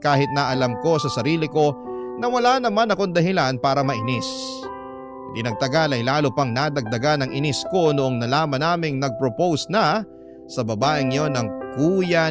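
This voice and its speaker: Filipino guy reading out a letter all dramatic, high voice, loud music behind him. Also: lively intonation, normal speed. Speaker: radio drama narrator. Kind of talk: dramatic first-person reading of a listener's letter.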